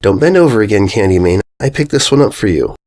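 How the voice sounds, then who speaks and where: A man's voice: fairly low pitch, animated, fast talker, darkish tone, close to an everyday voice. A man voicing a patient, sensible young female pony who sounds caring and matter-of-fact. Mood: concerned.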